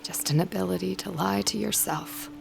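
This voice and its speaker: A woman's voice: lowish voice, moderate pace, creaky, slight background noise. A woman playing a guarded, grieving, driven secret agent in an audio drama, terse and intense. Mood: wry, guarded. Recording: music behind.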